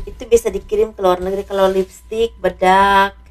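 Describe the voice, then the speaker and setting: A slightly hoarse woman doing a casual tarot reading, speaking softly and letting her phrases trail off. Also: medium pitch, flat delivery, normal speed, faint music behind. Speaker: tarot reader.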